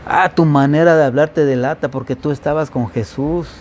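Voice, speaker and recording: A man's voice: fairly high pitch, a little fast, a little mellow, slight background noise. A man from the Veracruz coast preaching as pastor in an informal Bible-study sermon, with a faint Veracruz accent, often asking the congregation questions. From Veracruz coast, Mexico.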